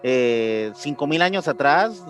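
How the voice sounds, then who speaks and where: A Mexican man with a fairly high voice co-hosts a casual podcast. He talks in slang and often doubles back on his words while thinking aloud. Faint music plays under his speech. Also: animated, slow pace, fairly bright tone. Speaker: podcast co-host. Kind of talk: casual conspiracy podcast chat.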